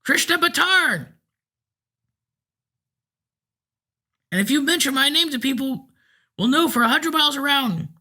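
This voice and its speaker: A man's voice: extremely high pitch, animated, a little fast, bright, forceful tone, voice pushed up, exaggerated voice. A man reading a story aloud, voicing a frail, cranky eighty-year-old man with a thin, piping voice. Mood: proud.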